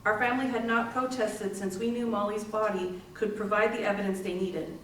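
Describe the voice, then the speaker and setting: A woman's voice: mid-range voice, fairly even intonation, brisk, a bit bright, close to an everyday voice. A woman playing a grieving sister, telling her story as a readers theater monologue. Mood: solemn. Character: grieving sister recounting an exhumation. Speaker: community theatre actor. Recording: echoey room, distant mic, faint noise.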